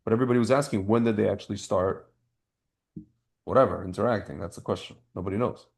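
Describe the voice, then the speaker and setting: A male podcast host with a fairly low, gravel-edged voice full of fry. He talks casually and with opinions, in slangy, rambling sentences. He often doubles back on phrases or drops them midway. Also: fast.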